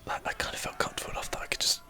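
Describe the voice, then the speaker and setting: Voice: very fast, bright, forceful tone. A gamer whispering casual ASMR commentary while playing, thinking aloud. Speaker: video game streamer.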